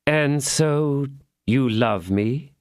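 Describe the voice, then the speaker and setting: A man voicing a scheming, vindictive noblewoman who speaks in a measured, coaxing way. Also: medium pitch, clear, resonant tone, dry recording, studio quality, voice pushed up.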